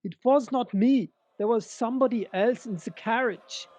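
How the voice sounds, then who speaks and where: An actor playing a desperate German prisoner accused of murder in an audio drama. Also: male voice, very high voice, normal speed, a little mellow.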